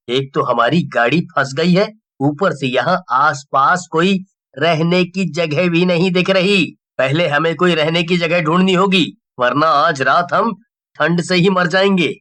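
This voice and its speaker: A man's voice: a little high, pushed and bright. A man acting out dialogue in a dramatized story. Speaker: voice actor.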